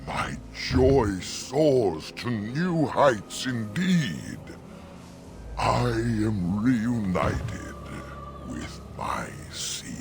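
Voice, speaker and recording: A man voicing an ancient, gentle talking oak tree in a fantasy game, speaking in solemn archaic rhyme. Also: mid-range voice, very animated, drawn-out words, gravelly, full character voice. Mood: joyful. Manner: solemn archaic rhyming speech. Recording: music behind the voice.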